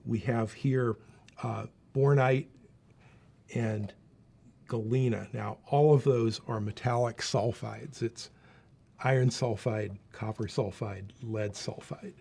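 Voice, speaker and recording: A man's voice: mid-range voice, fairly even intonation, takes it slowly, vocal fry, dark tone, breathy edge. A man giving a plain, unscripted, instructive explanation as he demonstrates equipment. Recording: faint background noise.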